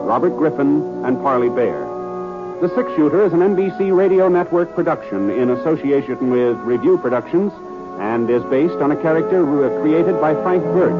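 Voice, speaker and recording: A man reading scripted announcements in a formal, polished 1950s radio network style. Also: mid-range voice. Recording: tinny 1950s radio broadcast, music behind.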